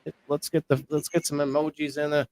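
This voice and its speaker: A man co-hosting a casual livestream about indoor growing, talking in a low-key, fairly monotone way. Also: a little fast, no room sound. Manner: low-key, self-described monotone delivery. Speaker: co-host of a live-streamed indoor growing show.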